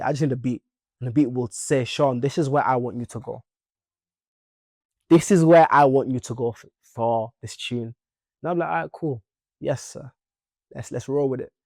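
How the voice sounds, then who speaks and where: A young man from Leeds with a Leeds accent, a musician guest chatting in a playful, animated interview. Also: mid-range voice, no echo, clean studio sound. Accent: Leeds English. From Leeds, England. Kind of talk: casual interview chat.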